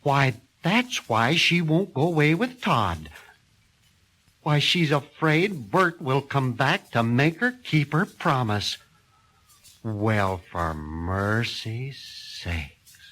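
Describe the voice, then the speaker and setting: A man's voice: medium pitch, big pitch swings, takes it slowly, a bit bright, voice pushed up, full character voice. A man voicing a nosy, flustered older country woman who talks in a fussy, folksy, exclaiming way. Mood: astonished.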